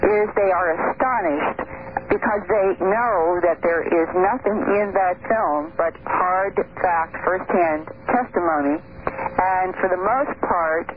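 A woman speaking as a radio interview guest, earnest and measured, pausing often with "uh". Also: fairly low pitch. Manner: earnest, measured, many "uh" pauses. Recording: tinny phone line with faint hiss.

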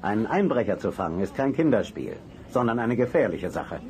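Bossy well-off uncle for radio play: low, animated pitch, brusque, condescending, faint background noise. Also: male voice, brisk. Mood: stern, condescending. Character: gruff, imperious, well-to-do uncle, middle-aged or older. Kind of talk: German audio drama acting.

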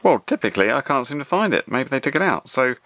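A man's voice: mid-range voice, sing-song, fast, a bit bright, a little hiss or hum. A man presenting a casual hands-on tutorial in a conversational, step-by-step way. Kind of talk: casual spoken tutorial over a hands-on demonstration.

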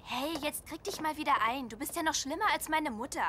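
A woman's voice: high voice, fairly quick, a bit bright, slight background noise. A woman playing a confused, earnest teenage schoolgirl heroine in an anime audio drama. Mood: agitated. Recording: long reverb, music underneath.

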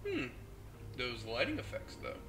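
A young man with the flu commentates on a game he is playing, chatting casually, swearing and reacting out loud to what happens on screen. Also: mid-range voice, wildly swooping pitch, unhurried. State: sick with the flu, hoarse. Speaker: gaming host. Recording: noisy home mic recording.

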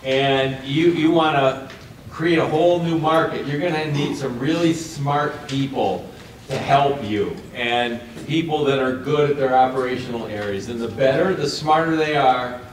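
A man in his sixties speaking as a panelist, with a loud, blunt, plainspoken way of talking. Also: medium pitch, measured pace, very echoey, recorded from a distance, slight background noise, rough recording. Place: conference room.

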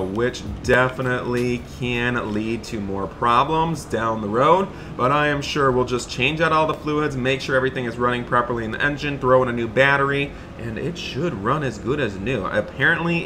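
A man's voice: medium pitch, moderate pace, hardly any pauses, background noise, close to an everyday voice. A man playing a cheerful, thrifty father in an improvised video game roleplay, chatty and folksy. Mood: enthusiastic. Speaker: gaming video host.

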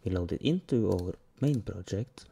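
Low-voiced guy walking thru a software tutorial step by step, slow and dark, clicks in the background. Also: expressive, gravelly, husky. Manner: plain step-by-step narration with pauses. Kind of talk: screen-recorded software tutorial.

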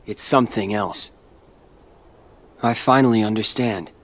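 An actor playing an earnest, brooding teenage boy hero in a video game cutscene, speaking haltingly. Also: lowish voice, a bit bright. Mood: resolute, accusing.

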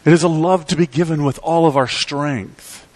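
A middle-aged man preaching in a church, speaking from a lectern with emphatic, rhythmic delivery that swings between loud peaks and quiet drops. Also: normal speed, noisy background. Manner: emphatic preaching with sweeping pitch and volume swings. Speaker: pastor. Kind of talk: sermon. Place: church sanctuary.

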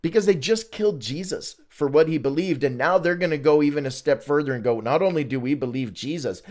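Male Bible teacher, 40s, slightly high voice, informal and conversational, poses questions and answers them himself. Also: fairly quick, a little mellow. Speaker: Bible study host and teacher. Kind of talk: informal Bible read-along teaching with commentary.

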